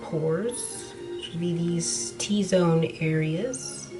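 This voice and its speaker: Nasal, low-voiced young woman vlogger. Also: drawn-out words, over music. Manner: casual, frank, talks while applying makeup. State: nasal after being sick. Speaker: beauty vlogger. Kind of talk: chatty makeup tutorial talk.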